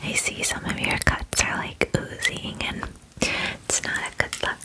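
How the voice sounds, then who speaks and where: A woman voicing a friendly, doting female dragon in an ASMR roleplay, whispering softly with gushing, casual, reassuring phrasing. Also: measured pace. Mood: caring, gentle. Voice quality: soft breathy whisper. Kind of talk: ASMR fantasy roleplay, personal attention.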